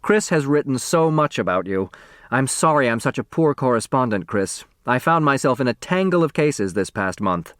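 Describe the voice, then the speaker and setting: A man's voice: medium pitch, lively intonation, normal speed, a bit bright, no room sound, studio quality, natural voice. Man reading as a courteous, dignified woman judge. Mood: courteous apology.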